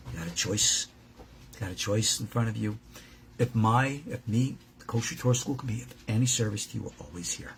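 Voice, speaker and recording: Male rabbi, informal teacher-preacher. Gravelly fry, emphatic and direct, slips into imagined dialogue. Slightly noisy recording. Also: medium pitch. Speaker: rabbi and teacher at a Torah school. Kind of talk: informal religious lesson, preaching to students.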